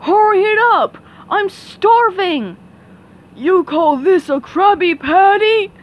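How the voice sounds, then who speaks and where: A woman's voice: very high voice, animated, slow, highs cut off, exaggerated voice. A woman voicing an impatient, indignant restaurant customer in a hobby cartoon dub. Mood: outraged. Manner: demanding, indignant.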